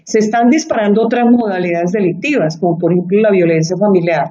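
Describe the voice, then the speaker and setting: A woman's voice: mid-range voice, fast, mellow. A Colombian woman speaking Spanish with a Colombian accent, a guest in an interview who talks in an earnest, reflective way and repeats phrases for emphasis. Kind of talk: conversational interview. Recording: muffled lo-fi audio.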